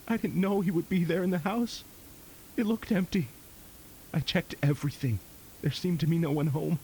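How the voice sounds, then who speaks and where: A man's voice: fairly high pitch, moderate pace, dark tone, close to an everyday voice. Male actor as guilty burglar husband, desperate, pleading, hissy faint noise, audio drama. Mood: guilty, shaken. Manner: pleading, confessional. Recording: hissy, faintly noisy tape.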